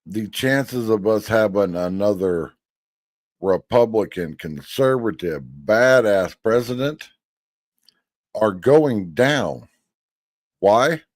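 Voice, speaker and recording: A man's voice: on the low side, lively intonation, takes it slowly, pauses often, no echo. A man in his fifties giving blunt, folksy commentary, now and then swearing. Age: 50s. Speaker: commentator reacting to a hearing. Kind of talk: casual commentary over a clip.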